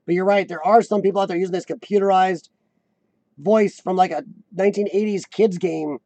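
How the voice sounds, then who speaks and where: A man in his forties chats casually with viewers in a high, squeaky voice that is rough and gravelly from years of coughing. His tone is dark and mellow despite the pitch, he keeps clearing his throat, and the sound is close and dry. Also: fairly even intonation, dry recording. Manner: clears throat often, halting restarts. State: voice worn from lung illness and coughing. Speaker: host of a live chat show. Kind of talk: casual live-stream chat reading viewer comments.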